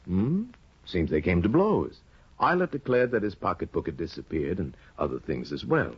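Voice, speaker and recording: A sly scheming judge. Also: male voice, low-pitched, very animated, slightly rough, no echo, exaggerated voice. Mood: insinuating. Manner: insinuating, hesitant with drawn-out ums. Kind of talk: 1950s radio drama acting. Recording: dull lo-fi 1950 radio broadcast.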